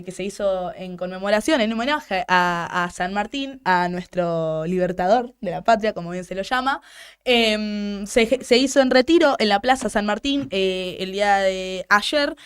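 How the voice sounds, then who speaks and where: Young argentine woman on a radio show, clear smooth voice, critical, keeps restarting her sentences. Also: medium pitch. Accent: Argentine Spanish. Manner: outspoken, critical, restarts and repeats words. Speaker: radio host. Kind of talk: political commentary on a talk show. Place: radio studio.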